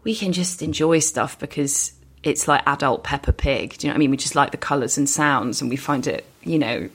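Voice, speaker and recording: A woman's voice: low-pitched, brisk, slightly rough. A woman co-hosting a podcast in casual chat, musing aloud and repeating phrases for emphasis. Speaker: podcast co-host.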